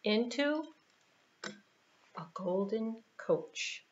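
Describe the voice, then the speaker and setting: Animated, high-voiced American woman storyteller. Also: lo-fi sound, noisy background. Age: middle-aged. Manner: unhurried, animated, playful asides. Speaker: library puppeteer and storyteller. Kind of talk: children's storytelling narration. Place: small indoor room.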